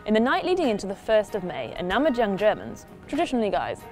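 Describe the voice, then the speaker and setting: A woman in her thirties hosts with an upbeat, wry tone, reading a scripted presentation. Her pitch moves around very freely, rising and dipping through each line. Music plays clearly in the background. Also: wildly swooping pitch, quick pace, darkish tone. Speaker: host.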